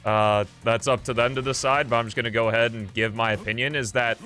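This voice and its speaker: A man commentates on a video game for his livestream in a medium-pitched voice. He talks informally and loosely, thinking aloud as he plays. Game music runs behind his voice. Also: steady tone, quick pace, sharp tone. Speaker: livestream host. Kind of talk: casual gameplay commentary.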